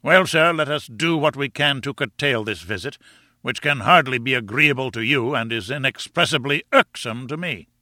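A man voicing a furious, bullying professor in an audiobook, pompous and menacing, with a rumbling, booming delivery. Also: normal speed, bright, forceful tone, dry recording, clean studio sound. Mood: brusque, menacing. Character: short, barrel-built furious professor, bullying and contemptuous. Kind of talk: audiobook character acting.

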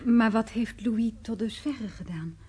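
Husky, hesitant, uneasy wife. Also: female voice, a little high. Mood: uneasy, reserved. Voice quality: husky, soft-toned. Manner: hesitant, halting. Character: reserved, uneasy wife of an art restorer. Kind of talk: naturalistic radio drama acting.

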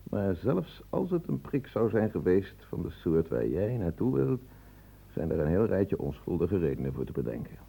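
Radio drama sceptical doctor: low, very mellow, husky, careful. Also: male voice, big pitch swings, moderate pace, full character voice. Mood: sceptical, explaining. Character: cautious, sceptical male hospital doctor.

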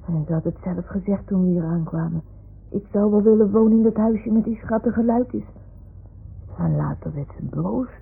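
A woman's voice: medium pitch, moderate pace, gravelly, breathy edge. A woman playing a young boy who talks to his toys in a radio play, earnest and childlike as he explains things. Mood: earnest, gentle. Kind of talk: 1960s-70s Dutch radio drama acting. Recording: muffled, phone-like old radio recording.

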